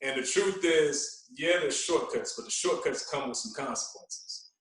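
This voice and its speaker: A man's voice: on the high side, a bit bright. Echoey, distant man in casual conversation. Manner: earnest, deliberate, emphatic repetition. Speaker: podcast guest, radio DJ and fitness coach. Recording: roomy echo, distant from mic.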